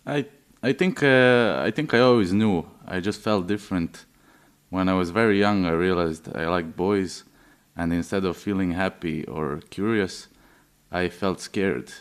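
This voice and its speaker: A man's voice: low voice, unhurried, clean tone. A man in his twenties from Serbia, giving a personal testimony hesitantly, with many fillers and restarts. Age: 20s. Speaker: interviewee.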